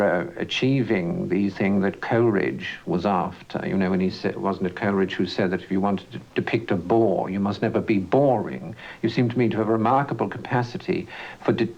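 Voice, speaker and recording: A man interviewing in a literary style, with halting, discursive phrasing and repetitions. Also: fairly low pitch, a little mellow. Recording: dull, lo-fi archive radio recording.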